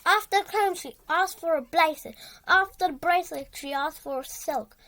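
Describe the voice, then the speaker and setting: A child reading a storybook aloud with dramatic emphasis, halting and repeating words along the way. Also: extremely high pitch, bright, forceful tone.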